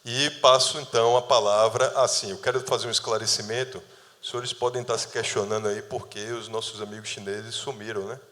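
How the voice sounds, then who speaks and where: A man with a lowish voice moderates a panel in formal, ornate Portuguese. He praises guests effusively. A long reverb from the hall lingers behind him. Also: steady tone, a little fast, a bit bright. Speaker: event moderator. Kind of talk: formal ceremonial speech.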